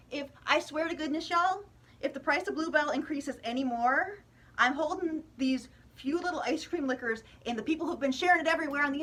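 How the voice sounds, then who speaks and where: A woman's voice: high voice, pushed and bright. An American woman vlogger giving a casual opinion monologue to viewers, chatty and emphatic with exasperated asides.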